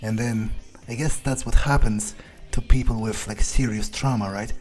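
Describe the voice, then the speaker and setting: A man doing an in-character game playthrough, thinking aloud in a gruff, blunt, halting way with plenty of swearing. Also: on the low side, steady tone, a little mellow, soft background music. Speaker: gamer playing in character.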